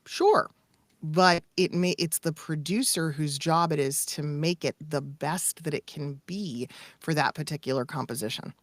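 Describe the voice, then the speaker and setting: A woman's voice: low voice, measured pace, no echo. A woman coaching in a conversational live discussion, explaining points and often checking in with "right?". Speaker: voiceover coach and co-host.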